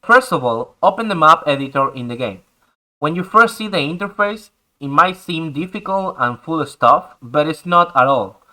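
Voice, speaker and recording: A man's voice: a little high, bright, forceful tone, rough recording. A young man whose first language is Spanish, patiently explaining a game map editor step by step in English. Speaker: tutorial host. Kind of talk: screen-recorded game tutorial.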